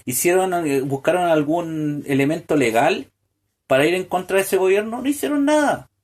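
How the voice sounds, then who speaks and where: A man delivering a solo political podcast monologue, full of hesitant fillers and restarts, building to pointed rhetorical questions. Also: high-pitched. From Chile. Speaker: podcast host.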